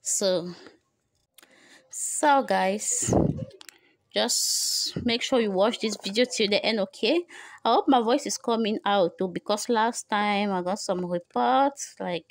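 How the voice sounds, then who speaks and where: A woman's voice: mid-range voice, slow pace, no echo. Young woman, beauty vlog host, chatty and repetitive, phone recording, indoors at home. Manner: chatty, repeats herself, addresses viewers as guys. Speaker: beauty vlogger. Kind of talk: casual how-to vlog. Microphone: phone camera, no microphone.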